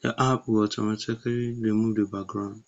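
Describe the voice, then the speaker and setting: A man's voice: on the low side, flat delivery, a little fast, highs cut off. A man narrating a step-by-step app tutorial in plain, short instructions.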